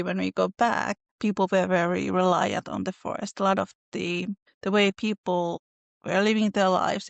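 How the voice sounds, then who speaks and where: A woman's voice: medium pitch, vocal fry, no echo. A woman from northern Finland with a Finnish accent, a guest on a podcast, answering thoughtfully with frequent fillers and restarts over a video call. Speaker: podcast guest, illustrator and folklorist. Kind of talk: casual interview answers.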